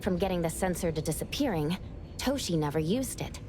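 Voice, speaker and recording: A voice actress playing a composed, analytical young female investigator in a video game, reasoning aloud in a measured, serious way. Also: lowish voice, expressive, noisy background, natural voice. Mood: intent, suspicious. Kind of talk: video game character acting.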